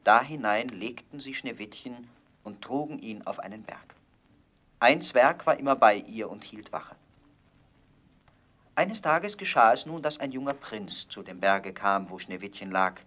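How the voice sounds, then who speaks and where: Man reading a fairy tale out loud, calm and measured, plain middle voice. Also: medium pitch. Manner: measured storytelling. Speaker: narrator. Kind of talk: scripted fairy-tale narration.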